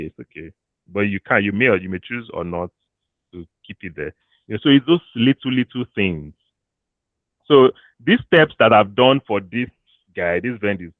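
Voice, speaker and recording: A Nigerian man giving career advice to an audience in a casual, animated way, slipping into pidgin and laughing often. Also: fairly low pitch, no room sound. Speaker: career coach. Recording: thin phone-like dry audio.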